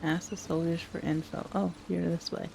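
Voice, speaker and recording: A woman's voice: lowish voice, level tone, moderate pace, vocal fry, background noise. A woman casually commenting on a video game as she plays and reads lines aloud. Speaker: gaming video host. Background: game music.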